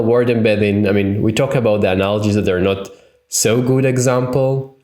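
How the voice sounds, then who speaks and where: Israeli-accented man lecturing, low voice, roomy. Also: darkish tone. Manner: thinking aloud with frequent fillers and self-corrections. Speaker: tutorial instructor. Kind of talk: conference tutorial lecture. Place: home room, indoors. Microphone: webcam on a video call. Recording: roomy echo.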